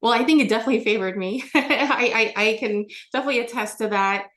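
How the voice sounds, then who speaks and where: A woman's voice: on the high side, fast talker, a bit bright. A young adult woman from Germany, a guest on an interview show, answering in a chatty way with many fillers and restarts. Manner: chatty, frequent fillers and restarts, laughs often.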